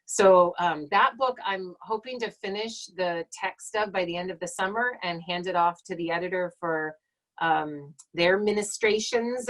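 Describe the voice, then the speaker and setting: Woman, late forties, Egyptologist on a home live stream; relaxed and digressive delivery with lots of fillers. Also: lowish voice, low-quality recording. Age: late 40s. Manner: chatty and digressive, many fillers, self-corrects. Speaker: Egyptologist giving a talk and Q&A. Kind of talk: casual lecture and Q&A on a live stream.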